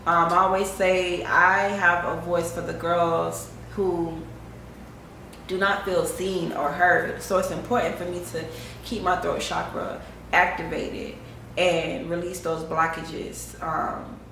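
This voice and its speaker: A woman's voice: on the low side, fairly even intonation, slow, creaky, a bit bright. A young adult woman, chatting casually to camera about spiritual routines, slangy and repetitive. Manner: chatty, slangy, repeats phrases, says "you know what I'm saying". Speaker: vlogger. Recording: very echoey room, faint hiss.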